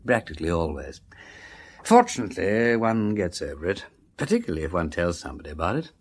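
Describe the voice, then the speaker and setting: An urbane aristocratic English detective in a radio play. His voice sits low and moves in very animated swoops. He drawls old-fashioned slang with breezy flippancy, yet stays kindly. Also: fast. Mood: gentle, consoling. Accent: upper-class English. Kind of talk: full-cast radio drama acting.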